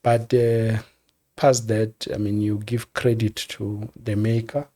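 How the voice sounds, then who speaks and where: An older man with a South African accent, a music executive telling stories in a relaxed interview, measured and repeating words as he thinks. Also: lowish voice.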